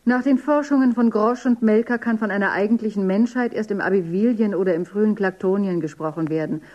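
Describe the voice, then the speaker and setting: A woman playing a composed, dreamy character in a dystopian radio drama, measured and articulate. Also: medium pitch, dull audio, faint music behind, natural voice. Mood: factual, reciting. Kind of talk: German radio drama acting.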